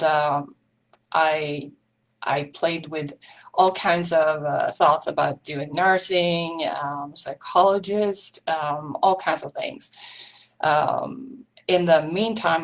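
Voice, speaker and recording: A woman's voice: deep voice, fairly even intonation, takes it slowly, low-quality recording. A woman from Sweden with a Swedish accent, hosting a live online meetup in a chatty way, with many ums and small laughs. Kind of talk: casual live-stream chat.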